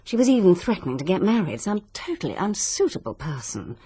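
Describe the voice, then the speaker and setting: A genteel stepmother in a radio play. Her voice is dark and a little husky, moving expressively through clipped, guarded phrases. She sounds coolly disapproving and proper. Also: on the low side, sing-song, a little fast, full character voice. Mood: coolly regretful. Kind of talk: 1940s-style British radio drama acting.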